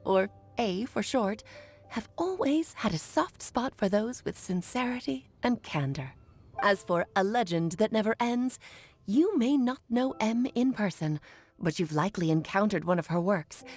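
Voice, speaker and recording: A woman voicing a playful, wise, centuries-old mage in a video game, telling her tales theatrically with emphatic pauses. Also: medium pitch. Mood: fond, playful.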